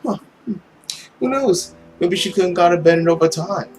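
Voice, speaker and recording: A man voicing a smug, scornful nobleman villain in a visual novel, drawling and sarcastic with theatrical pauses. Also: high voice, darkish tone, soft background music, natural voice. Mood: smug, indifferent. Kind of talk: visual novel character acting.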